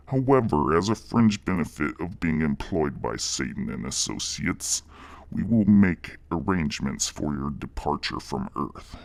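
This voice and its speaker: A man voicing a menacing reptilian demon boss with a deep gravelly growl, speaking in slow, mock-polite, condescending corporate fashion. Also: dry recording, exaggerated voice.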